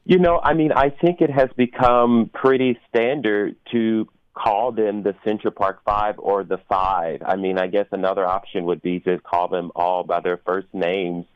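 A man speaking as an interview guest, explaining things in a measured, thoughtful and articulate way. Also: fairly low pitch, fairly even intonation, smooth, no room sound, highs cut off. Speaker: opera performer and guest.

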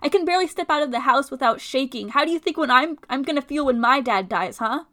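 A woman's voice: high voice, lively intonation, fast, a bit bright. A young woman playing a blunt, anxious but kindhearted college student in a dramatic play reading. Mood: angry, hurt. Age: young adult.

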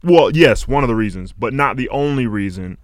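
A man in his late twenties chatting casually as an interview guest, halting and meandering as he reflects. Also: mid-range voice, lively intonation, dry recording. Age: late 20s. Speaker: interview guest, music artist. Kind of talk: casual chat.

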